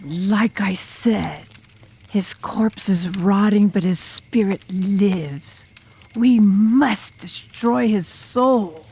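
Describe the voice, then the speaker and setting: A woman's voice: medium pitch, dark tone, slightly rough, heavily acted. A woman playing an intense, enigmatic girl drum major who tells a scary tale dramatically in an audiobook. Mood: grave. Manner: dramatic, ominous, deliberate.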